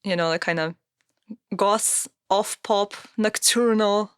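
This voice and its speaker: A woman's voice: mid-range voice, takes it slowly, clean tone, no echo, studio quality. A woman in her thirties who grew up in Russia, talking casually as a guest musician, with many fillers and restarts. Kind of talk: casual interview conversation.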